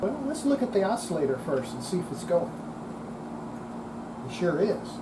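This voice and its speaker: A plainspoken repair demonstrator with a medium-pitched voice gives casual hands-on commentary. The speaker thinks aloud while working. A clearly audible steady hum of room noise runs behind the voice. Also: moderate pace.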